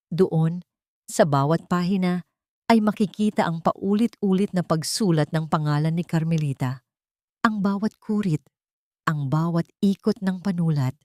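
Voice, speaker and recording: Female narrator for audio drama: lowish voice, suspenseful and solemn, very dry sound. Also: darkish tone, no room sound. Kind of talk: scripted dramatic story narration.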